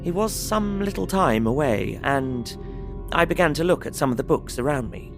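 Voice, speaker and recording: An actor playing an earnest, polite young English solicitor, narrating his journal in measured, bookish Victorian phrasing for an audio drama. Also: on the high side, expressive, soft background music. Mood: measured, matter-of-fact.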